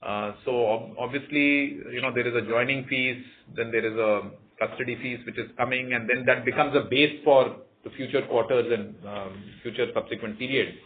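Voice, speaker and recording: Indian executive on a tinny phone line. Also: male voice, medium pitch, steady tone. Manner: hesitant, measured, formal. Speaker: company executive. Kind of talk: answering analyst questions on an earnings call. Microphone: conference call line. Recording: narrow tinny conference phone line, faint noise.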